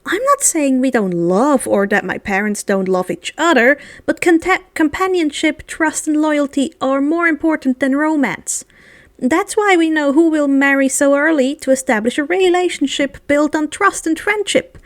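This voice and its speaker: A woman's voice: sits high, normal speed, no room sound, natural voice. A woman voicing a blunt, unbothered, fierce thirteen-year-old girl in a storybook reading. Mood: matter-of-fact.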